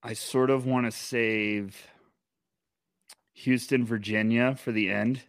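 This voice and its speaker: A man co-hosting a sports podcast, chatting with energy and rambling through restarts. His voice sits at a middle pitch. It is recorded close, with a dry, dead sound. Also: steady tone, takes it slowly, no room sound. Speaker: podcast co-host. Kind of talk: casual sports podcast chat.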